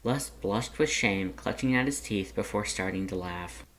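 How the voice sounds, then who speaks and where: A narrator reading scripted fan fiction aloud in an expressive, dramatic way. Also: low voice, moderate pace, slight background noise.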